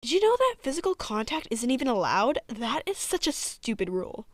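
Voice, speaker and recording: A woman's voice: sits high, big pitch swings, brisk, dry recording, studio quality, heavily acted. Woman acting a brash, snarky teenage witch girl. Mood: snarky, irritated. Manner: snarky, blunt, exasperated. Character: brash, sarcastic athletic three-eyed teenage witch girl. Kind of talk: comic dub acting.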